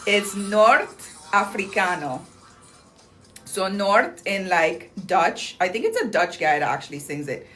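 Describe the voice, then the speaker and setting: A woman's voice: mid-range voice, expressive, takes it slowly, fairly bright tone, soft background music. Chatty, slangy young woman livestreaming. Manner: chatty and bubbly, slangy, lots of "like" and "um". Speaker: livestream host promoting clinic deals. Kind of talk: casual livestream chat, reading viewer comments.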